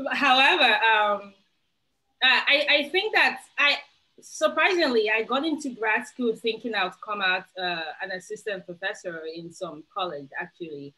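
A young Nigerian woman with a Nigerian accent and a bright, ringing tone, speaking over a video call. She gives emphatic, encouraging advice as a webinar panelist. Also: medium pitch, animated, unhurried, poor audio.

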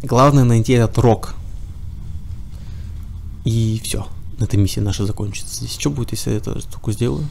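A man's voice: medium pitch, moderate pace, creaky, pauses often, a little mellow, a little hiss or hum. A man with a cold giving casual running commentary while playing a game, chatting to himself and to the enemies on screen. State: has a cold. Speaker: let's play gamer.

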